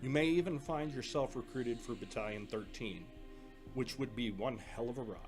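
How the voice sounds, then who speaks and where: A man playing an earnest older brother who trains recruits at a military academy, explaining things in a measured way in an audio drama. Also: medium pitch, music in the background, close to an everyday voice. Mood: firm, reassuring.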